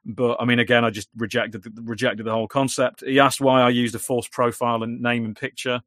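A man's voice: medium pitch, flat delivery, brisk, a bit bright, no echo, studio quality. A British man with a droning voice, chatting casually as a guest and rambling with many restarts. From Britain. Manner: rambling, repeats words when restarting. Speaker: YouTuber guest. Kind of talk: casual chat.

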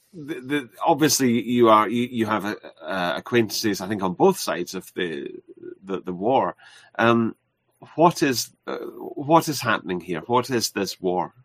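A man hosting a livestream interview, talking in a halting way with many fillers and restarts. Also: on the low side, a bit bright, no echo. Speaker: livestream host. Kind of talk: casual interview chat.

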